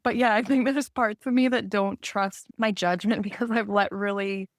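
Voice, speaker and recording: A woman's voice: medium pitch, steady tone, normal speed, no room sound. Dry-sounding woman chatting reflectively. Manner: laughs easily while talking. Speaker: podcast co-host. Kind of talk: casual reflective spiritual chat.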